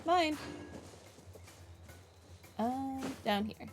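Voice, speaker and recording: A woman's voice: high voice, animated, drawn-out words, over music. Chatty young woman streaming a game. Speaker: gaming playthrough streamer. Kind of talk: casual gameplay commentary, reading dialogue aloud.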